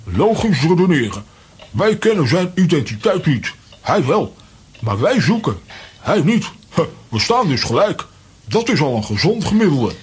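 A man's voice: on the high side, big pitch swings, moderate pace, husky, heavily acted. An actor playing a pompous, self-assured comic man in a children's audio play, declaiming nonsense logic in short phrases. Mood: mock-confident.

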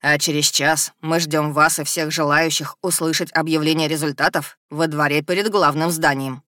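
A woman voicing an older male university rector who gives formal, commanding, measured addresses in a fantasy audiobook. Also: sits low, steady tone, bright, forceful tone, dry recording, clean studio sound. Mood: formal, proclaiming.

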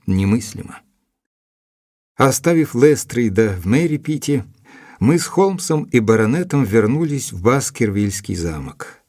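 An actor playing a sober male doctor who narrates a detective story in measured, literary phrasing for an audio drama. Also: on the low side, darkish tone, no room sound, clean studio sound. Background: music.

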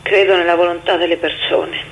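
A woman's voice: on the low side, flat delivery, fairly quick, lightly acted, poor audio. A woman voicing a desperate young mother's solemn written appeal for help, with faint noise in the recording. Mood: pleading.

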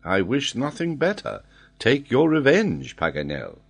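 A man voicing a proud, incredulous British lord who challenges and doubts in a formal, measured way. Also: mid-range voice, wildly swooping pitch, vocal fry, slightly rough, voice pushed up, exaggerated voice. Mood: challenging.

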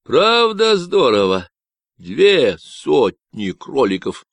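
A man's voice: medium pitch, wildly swooping pitch, fairly bright tone, dry recording, pitched above the performer's own voice, exaggerated voice. A man voicing an unhinged Texan man on a radio, who talks in a nasal drawl full of slang and mockery. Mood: mocking. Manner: slow, mocking slang talk.

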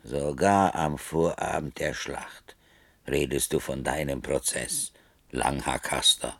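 An actor playing a grave, knowing war chief in a German radio play, speaking in a solemn, measured and quietly challenging way. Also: male voice, extremely low pitch, steady tone, vocal fry, no echo, exaggerated voice.

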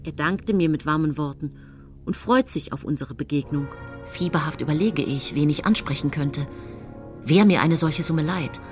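Female poisoner-narrator played by a woman for radio drama, lowish voice, composed, falsely devoted, scheming underneath. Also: normal speed, a little mellow, lightly acted. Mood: falsely sincere. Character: secretive, falsely devoted female poisoner confessing her crimes. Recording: studio radio drama with music.

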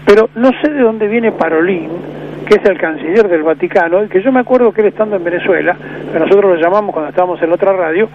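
A man's voice: sits high, quick pace. A man with an Argentine accent hosting a radio interview, rambling and restarting his sentences. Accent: Argentine Spanish. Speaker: radio host. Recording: dull lo-fi AM radio broadcast with faint noise.

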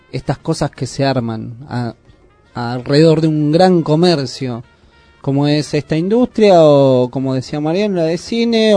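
High, mellow-voiced Argentine radio co-host. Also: male voice, no room sound. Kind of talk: casual radio chat.

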